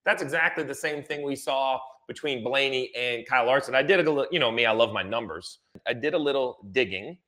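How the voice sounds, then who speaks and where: A man's voice: medium pitch, fairly quick, hardly any pauses, sharp tone. A man giving conversational motorsport analysis, emphatic and repeating phrases to drive points home.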